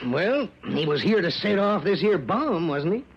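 An actor playing an excitable small-town newspaper publisher, with a folksy rural American accent and a halting, flustered manner, in an old radio drama. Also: male voice, high voice. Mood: dismayed. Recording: muffled, noisy old radio recording.

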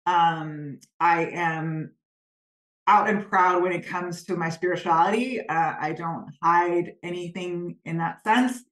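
Woman, lowish voice, earnest and reflective, roomy echoing call audio. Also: takes it slowly, fairly bright tone. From Denmark. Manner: earnest, reflective, searching for words. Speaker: guest, spiritual business coach. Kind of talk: conversational interview.

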